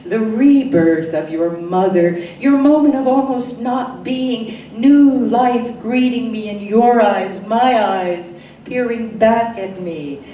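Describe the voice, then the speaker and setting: An older American woman from New Jersey reads poetry dramatically, with solemn, deliberate phrasing and pauses. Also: medium pitch, warm tone, roomy sound, recorded from a distance, a little hiss or hum, rough recording. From Newark, New Jersey, USA. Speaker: poet.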